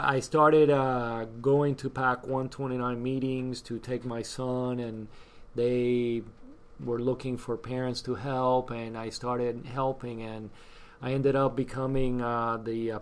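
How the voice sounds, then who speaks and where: A middle-aged man from Cuba, talking in a relaxed interview. He hesitates often, with many fillers and restarts. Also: medium pitch, a little slow, smooth. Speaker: guest, scouting volunteer. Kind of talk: casual interview chat.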